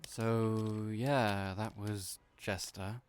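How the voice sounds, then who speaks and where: Hesitant, soft-spoken man, slightly low voice, stammers, audio roleplay lead. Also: level tone, very slow, a little hiss or hum. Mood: gentle.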